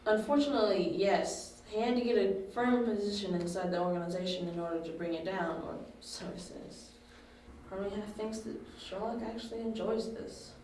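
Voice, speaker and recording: A woman playing a calm, patient young confidante who explains things in an amateur audio drama. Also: mid-range voice, moderate pace, lightly acted. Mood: explaining. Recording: distant echoey room, faint noise.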